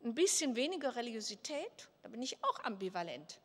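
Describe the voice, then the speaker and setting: A woman's voice: on the high side, sing-song, brisk, fairly bright tone. An older woman, a professor, giving a conference talk at a lectern, gesturing and posing questions aloud to herself. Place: large hall at a political conference. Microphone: lectern microphone. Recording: reverberant hall.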